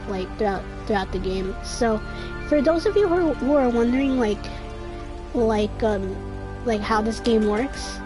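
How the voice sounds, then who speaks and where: A woman in her twenties giving casual gameplay commentary, rambling and restarting her sentences, her throat dry and a little scratchy. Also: fairly high pitch. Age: 20s. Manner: rambling, trails off and restarts often. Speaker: gaming streamer. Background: game music.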